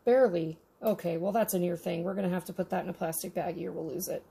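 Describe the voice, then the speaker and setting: A woman's voice: lowish voice, fairly even intonation, fast, gravelly, dark tone, slightly rough. A woman giving casual, easily delighted unboxing commentary as she handles miniature toys. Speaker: host of a miniature toy unboxing.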